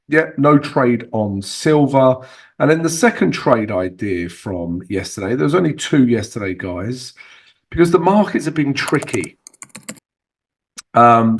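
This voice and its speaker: Man, husky voice, chatty chart walkthrough, talks to viewers as guys, mid-pitched. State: husky from recovering illness. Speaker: forex trading channel host.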